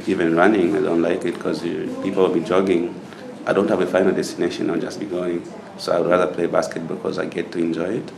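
A young man from Zambia, a master's student, answering mock speaking-test questions with frequent hesitant pauses. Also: sits low, creaky, background noise. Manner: hesitant, many filler pauses. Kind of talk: unscripted interview answers.

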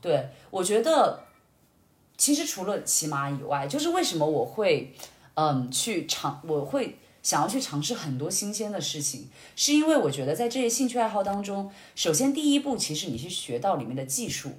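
A woman chatting casually as a podcast guest, telling personal stories in an animated, reflective way and explaining things step by step. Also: lowish voice, moderate pace. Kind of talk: casual conversational personal storytelling. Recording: roomy, echoing indoor sound.

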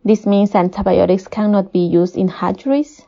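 A woman from Peru with a Spanish accent, a poultry nutritionist giving measured, formal, prepared answers as an interview guest. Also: mid-range voice, steady tone, creaky, mellow. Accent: Peruvian Spanish. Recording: dull, muffled lo-fi audio.

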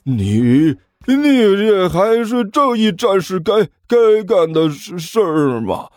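A man's voice: sits high, very animated, no room sound, voice pushed up, heavily acted. A man voicing a self-styled great demon king in a comic story, blustering and indignant, stammering and dragging out his words. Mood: outraged. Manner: heavy stammer, drawn-out shouted words.

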